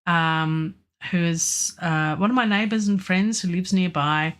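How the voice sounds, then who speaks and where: A woman's voice: on the low side, a little slow, fairly bright tone. An Australian woman chatting through a live music-production stream, rambling with many ums and restarts, her voice worn by a lingering cough. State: recovering from a virus, lingering cough and weak voice. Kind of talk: casual live-stream talk about mixing a track.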